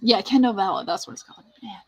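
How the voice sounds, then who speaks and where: A woman's voice: medium pitch, very animated, quick pace, vocal fry, husky. A woman hosting a casual writing livestream, talking in a chatty, informal way full of "like". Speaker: livestream host.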